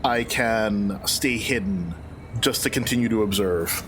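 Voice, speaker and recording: A man's voice: fairly low pitch, a little slow. A man playing a tabletop game, easygoing and plainly describing what his character does. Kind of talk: casual play talk. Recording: noisy background hiss.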